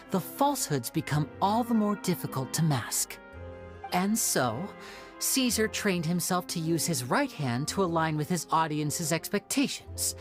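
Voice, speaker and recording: A woman voicing a clever, calmly confident young magician detective in a video game, measured and deliberate. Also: lowish voice. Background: faint background music.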